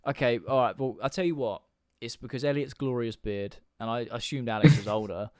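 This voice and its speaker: A man's voice: medium pitch, fairly even intonation, brisk, darkish tone, smooth, dry recording, studio quality. A man in his twenties with an English accent, hosting a casual group livestream chat. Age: 20s. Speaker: livestream host.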